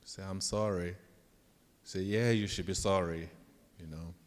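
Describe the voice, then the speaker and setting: Echoey hall recording, man, low voice, Ghanaian accent, professor telling anecdotes to students. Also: steady tone, unhurried. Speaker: professor giving a talk. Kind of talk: storytelling leadership talk to students. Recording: echoey hall with long reverb.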